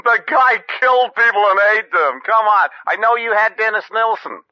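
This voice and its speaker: An actor playing an earnest, pedantic, overexcited American murder expert phoning in to a spoof radio show. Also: male voice, high-pitched, expressive, normal speed, pushed and bright, dry recording, exaggerated voice. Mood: excited. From Wisconsin, USA. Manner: pedantic, enthusiastic. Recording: slightly dull phone-in line.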